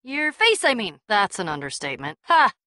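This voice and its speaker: A woman's voice: medium pitch, wildly swooping pitch, moderate pace, sharp tone. An actress playing a bold, sardonic young woman adventurer in a video game, with a wry, punchy, casual delivery. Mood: wry, curious.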